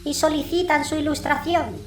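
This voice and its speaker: A woman's voice: sits high, fairly even intonation, moderate pace, lightly acted. A woman voicing a giant owl messenger, solemn and deferential, with formal, ceremonious speech in an audiobook. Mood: solemn, explanatory. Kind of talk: audiobook character acting. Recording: roomy echo, noisy background.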